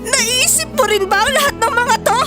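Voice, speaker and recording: Woman acting a very high-pitched anguished wife. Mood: angry, tearful. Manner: tearful, emphatic, drawn-out delivery. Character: anguished, wronged young wife and mother, pleading and reproachful. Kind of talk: melodramatic audio drama acting. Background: prominent dramatic music.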